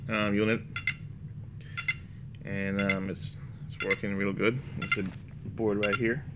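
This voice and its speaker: A man with a Jamaican accent, talking through drone setup software in a hesitant way, full of fillers and restarts. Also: on the low side, slow pace, very noisy. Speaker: hobbyist demonstrating drone software. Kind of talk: casual screen walkthrough. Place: indoors, room with a laptop.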